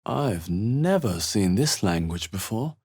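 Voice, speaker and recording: A man playing a wary, thoughtful fantasy adventurer in a video game, musing aloud in a measured, dramatic way. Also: on the low side, sing-song, a little mellow, breathy edge, heavily acted. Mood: musing, watchful. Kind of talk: video game voice acting, short exploration remarks.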